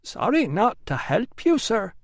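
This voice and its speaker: A woman's voice: fairly high pitch, big pitch swings, unhurried, no room sound, clean studio sound, voice pushed up, full character voice. A man reading an audiobook, voicing a prim, apologetic female housekeeper.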